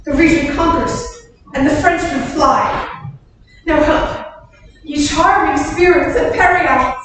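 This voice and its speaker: A woman playing a fierce, desperate French warrior witch, declaiming verse in a staged Shakespeare reading. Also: high-pitched. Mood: bold, rallying. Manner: declamatory, incantatory. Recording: distant mic, very echoey hall, faint noise.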